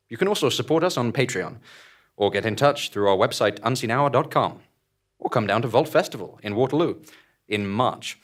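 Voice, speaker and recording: A man's voice: mid-range voice, a bit bright. A man reading scripted podcast promotion in a theatrical announcer's manner.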